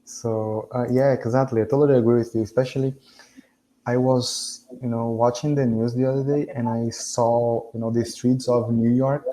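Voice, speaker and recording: A man from Brazil whose first language is Portuguese, talking with his tutor over a video call. He is earnest and agreeable, with thoughtful pauses. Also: mid-range voice, level tone, warm tone, poor audio. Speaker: language student. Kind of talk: casual conversation lesson.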